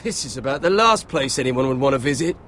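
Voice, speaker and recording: An actor playing a nosy young brother-in-arms in a fantasy game, teasing and prying. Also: male voice, medium pitch, lively intonation, fast, fairly bright tone, slight background noise, natural voice. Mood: teasing suspicion. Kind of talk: dramatic fantasy game acting.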